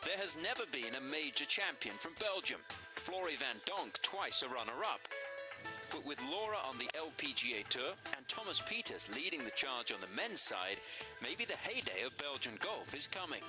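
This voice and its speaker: A man's voice: fairly high pitch, very animated, normal speed. A man reading scripted narration for a sports feature in a polished storytelling delivery. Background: music.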